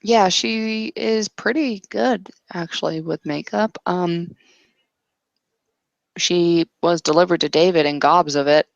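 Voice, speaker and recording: A woman playing a tabletop roleplaying game, casually narrating and thinking aloud in a halting way. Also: fairly low pitch, gravelly, dry recording, dull audio.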